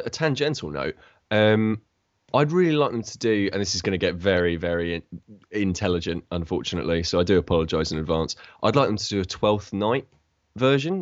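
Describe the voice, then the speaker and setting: A man co-hosting a casual podcast, rambling with many pauses and restarts as he riffs on ideas. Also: on the low side, no room sound, muffled recording. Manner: rambling, hesitant, lots of ums and restarts. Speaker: podcast co-host. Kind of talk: casual chat.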